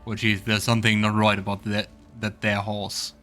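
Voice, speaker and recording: A man's voice: on the low side, monotone, moderate pace, bright, forceful tone, faint music behind. A man hosting a let's play, commenting on the game and reading its text aloud.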